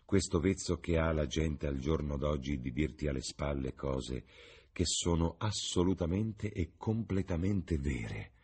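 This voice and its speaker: A man voicing a suave, cynical gentleman who speaks in dry, measured epigrams, in an audiobook story. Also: a bass voice, lively intonation, breathy edge, exaggerated voice. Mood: dry, ironic.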